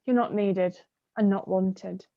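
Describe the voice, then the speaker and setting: A woman's voice: mid-range voice, steady tone, slow, faint music behind. A woman playing a bitter, defiant young daughter in a stage play, with a sardonic, mocking manner. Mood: imperious, goading. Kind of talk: theatrical play acting. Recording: dull muffled video-call audio.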